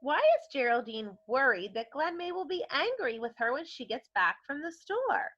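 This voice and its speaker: A woman speaks as an elementary teacher, posing questions and explaining things to children. Her voice is bright and fairly high, with lots of melodic movement. The sound is slightly dull and lo-fi. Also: sing-song, moderate pace. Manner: explanatory, addressing young children directly. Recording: dull lo-fi recording.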